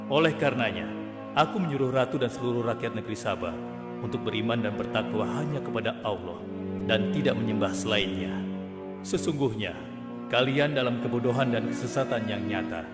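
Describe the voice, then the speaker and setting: A man voicing a stern, commanding king and prophet in a cartoon, speaking in a measured, regal way. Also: medium pitch, animated, natural voice. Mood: solemn. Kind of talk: cartoon voice acting. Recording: music bed behind.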